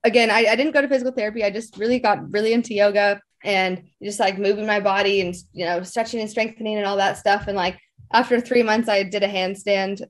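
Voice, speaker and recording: A young woman with a mid-pitched voice talks casually as an interview guest. She rambles informally through personal stories, leaning on little filler words as she goes. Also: steady tone, quick pace. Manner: informal, rambling, frequent "like" and "you know".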